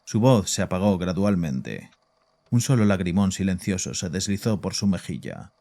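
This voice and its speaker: An actor narrating a horror audio drama and playing its composed psychiatrist, measured and grave in a deep, dark voice. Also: male voice, big pitch swings, vocal fry, exaggerated voice. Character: composed, probing male psychiatrist who also narrates. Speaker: narrator and doctor.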